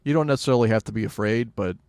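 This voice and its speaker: A man co-hosts a casual podcast in a low, gravelly voice, keeping his delivery dry and understated. The sound is close and dry. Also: rapid-fire, a little mellow, no echo. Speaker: podcast co-host.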